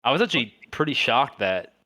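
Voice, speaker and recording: A man's voice: medium pitch, sing-song, brisk, sharp tone, no echo. A man co-hosting a podcast, chatting casually and analyzing the game with lots of "like" and "you know". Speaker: podcast co-host.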